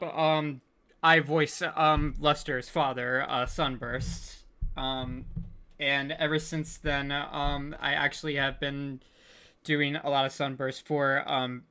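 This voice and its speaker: Halting man with a bright, sharp voice. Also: fairly high pitch, smooth. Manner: halting, flustered, searching for words. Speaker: voice actor on a panel. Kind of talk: casual panel chat.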